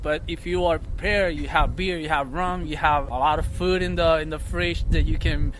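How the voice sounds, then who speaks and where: A man speaks in a fairly high voice, halting and repeating himself as he looks for English words. He is recorded outdoors on a city street, and clear traffic noise runs behind him. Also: fairly even intonation, fairly bright tone, rough recording. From Puerto Rico. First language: Spanish. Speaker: interviewee on the street. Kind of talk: casual chat.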